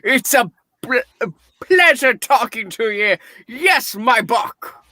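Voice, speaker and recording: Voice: mid-range voice, lively intonation, slow pace, pushed and bright, no echo. A performer playing a giddy, newly reformed old miser in a radio play reading, effusive and theatrical. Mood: giddy, kindly.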